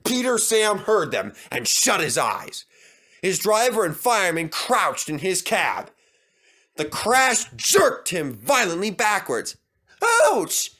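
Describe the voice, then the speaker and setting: A man reading a children's storybook aloud as narrator. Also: sits high. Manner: expressive, measured.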